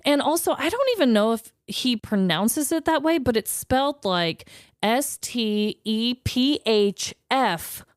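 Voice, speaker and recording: A woman co-hosts a podcast in loose, animated chat, laughing easily between thoughts. Her voice sits a little high, with a smooth, clear tone and a swinging, lively pitch. She is recorded close and dry. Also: measured pace, no echo. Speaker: podcast co-host. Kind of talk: casual chat.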